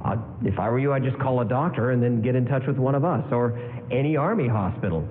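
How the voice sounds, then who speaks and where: A man's voice: medium pitch, fast, natural voice. An actor playing a fatherly, encouraging army hospital doctor in old-time radio style. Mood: reassuring. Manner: encouraging, advising. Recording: phone-like broadcast from reverberant hall.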